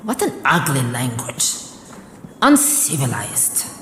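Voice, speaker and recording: A woman's voice: very deep voice, sing-song, takes it slowly, a bit bright, a little hiss or hum, full character voice. A woman playing a haughty, suspicious enemy soldier in a radio drama, speaking with scornful deliberation. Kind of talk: radio drama acting, inner monologue. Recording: echoey reverberant voice, long reverb.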